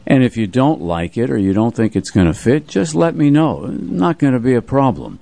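A man's voice: medium pitch, very animated, normal speed, vocal fry, warm tone, dry recording. A man in his seventies, a radio host, reminiscing conversationally and telling his story without hurry. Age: 70s. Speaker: radio music show host.

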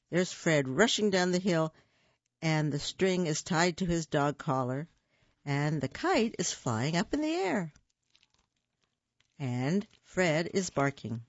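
A woman's voice: lowish voice, lively intonation, slow pace, no room sound, highs cut off. A woman reading the lines of a curious little boy in a children's storybook, in an eager, questioning voice. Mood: excited.

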